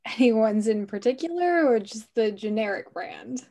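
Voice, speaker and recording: High-voiced, teasing young woman played by an actress. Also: expressive, unhurried, dark tone. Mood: playfully teasing. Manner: teasing, direct. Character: playful, teasing young woman, confident girlfriend. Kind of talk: table read of an animated film script.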